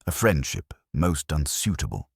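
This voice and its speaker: A man voicing a prim, disapproving older gentlewoman in a formal, roundabout manner, as part of an audiobook story. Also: a bass voice, sing-song, normal speed, gravelly, breathy edge, no room sound, clean studio sound, full character voice. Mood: prim disapproval. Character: genteel, disapproving widow's cousin, a prim older woman.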